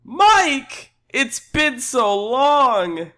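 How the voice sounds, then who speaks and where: Man playing a high-pitched, animated, skeptical customer. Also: measured pace. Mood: melodramatic. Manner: blunt, incredulous, theatrical. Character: blunt, skeptical, excitable male pizza customer.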